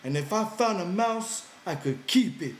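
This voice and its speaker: High, childlike simple big man. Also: animated, moderate pace, roomy sound, slight background noise. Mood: hurt, wistful. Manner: whiny, pleading, childlike, ungrammatical. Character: big childlike simple-minded man, eager, pleading ranch hand.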